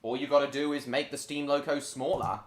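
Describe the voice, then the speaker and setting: A man's voice: mid-range voice, steady tone, brisk, soft background music. A man hosting a live stream, chatting casually and rambling to his viewers as he reacts to videos. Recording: echoey room.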